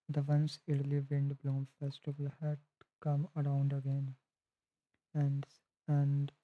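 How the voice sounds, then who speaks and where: A man's voice: on the high side, dry recording. A man with an Indian accent livestreaming a game, chatting casually and reading story text aloud. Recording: dull lo-fi stream mic, music behind. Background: game music.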